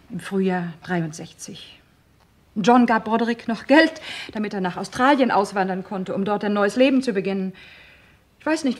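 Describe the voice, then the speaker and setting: An actress as an earnest divorced woman in a crime radio play. Her voice is slightly husky at a middle pitch, and she speaks with measured, regretful feeling that can sink into a hush. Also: natural voice. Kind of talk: German radio crime drama acting.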